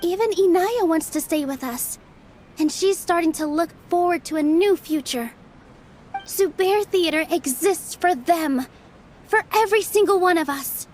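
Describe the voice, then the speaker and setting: An actress playing an earnest young theater dancer in a video game, gentle yet resolute and heartfelt. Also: female voice, extremely high pitch, measured pace, a little hiss or hum. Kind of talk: scripted video game dialogue.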